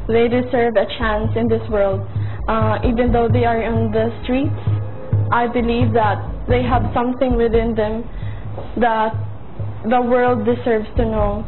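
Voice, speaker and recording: A woman's voice: fairly high pitch, flat delivery, measured pace. A young woman, a pageant contestant giving an earnest answer. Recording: tinny sound with music.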